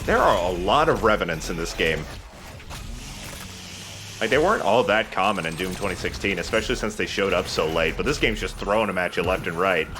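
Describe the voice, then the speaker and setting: A man's voice: low voice, lively intonation, fairly quick, noisy background. A man giving casual, joking, chatty commentary while playing a video game. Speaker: let's play host playing the game.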